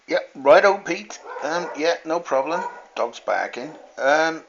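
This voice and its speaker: A man's voice: a little high, animated, slow pace, gravelly, fairly bright tone, slight background noise. Dull lo-fi radio, man chatting. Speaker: amateur radio operator. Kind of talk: casual radio chat. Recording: dull, lo-fi two-way radio audio.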